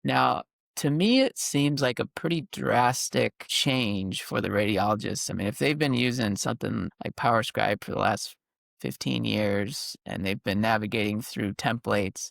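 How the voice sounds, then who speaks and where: Male interviewer, very creaky mid-pitched voice, conversational, recaps and questions, dry sound. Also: a bit bright, no echo. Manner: recaps and checks points with questions. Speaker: podcast host interviewing a guest. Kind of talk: conversational interview about technology.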